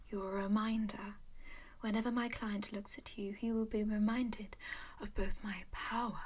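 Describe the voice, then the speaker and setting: A centuries-old vampire lady speaks in a breathy whisper, soft and doting, never rushing. She is genteel and possessive, calmly scheming as she fusses over her charge, as a woman acts her out in an ASMR roleplay. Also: mid-range voice, close to an everyday voice.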